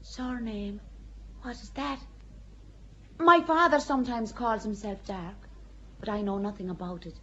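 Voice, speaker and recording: An actress playing an earnest young peasant girl who speaks plainly and with calm, quiet defiance in a radio drama. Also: mid-range voice, a little slow, pauses often, dull audio, close to an everyday voice. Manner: plain-spoken, calmly certain, quietly defiant.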